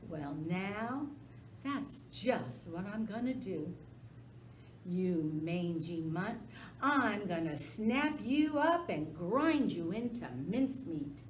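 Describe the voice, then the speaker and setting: A greedy, slow-witted alligator who hisses and gloats over his prey, as a woman puts him on while reading a storybook. His threats come slow and deliberate. The recording sits in an echoey room with faint noise behind. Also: mid-range voice, very animated. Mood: gloating. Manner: hissing, threatening. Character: greedy dim male alligator, hissing and menacing.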